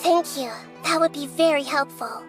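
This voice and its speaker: A woman voices a childlike goddess with a very high, small voice. She speaks politely and with measured, gentle authority in video game style, over faint music. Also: lightly acted. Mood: calm, concerned. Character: small young girl deity, gentle, composed, wise.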